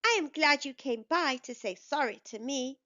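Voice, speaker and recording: A woman voicing a kind, forgiving male beaver friend in a children's storybook, gentle and unhurried. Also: high-pitched, lively intonation, dull audio.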